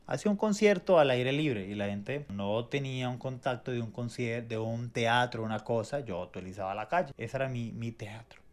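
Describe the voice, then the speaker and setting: Venezuelan-born guy on a faith podcast, earnest testimony, lots of restarts. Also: on the low side. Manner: earnest, reflective, with many restarts and repetitions. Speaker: co-host.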